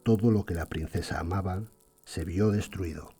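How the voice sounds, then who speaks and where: A man playing a solemn storyteller, narrating a fairy tale in a measured, dramatic way. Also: deep voice, warm tone, husky, faint music behind.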